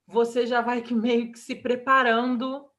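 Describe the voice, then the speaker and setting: A woman in her thirties with a Brazilian Portuguese accent, an interview guest talking about her child, emotional and halting, with many restarts. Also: fairly high pitch, level tone, fairly bright tone. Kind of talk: candid personal interview.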